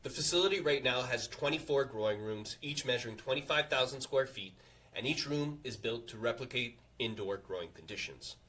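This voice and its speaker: A man reading a scripted earnings presentation to investors as a company executive, in a formal, confident, measured way. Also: medium pitch, lively intonation, a bit bright.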